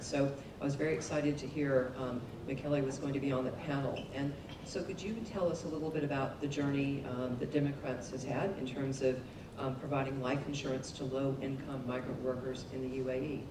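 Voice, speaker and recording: A woman moderates a conference panel, putting questions in a measured way with small fillers. She is heard from a distance in a reverberant hall. A low rumble hums beneath her voice. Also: level tone.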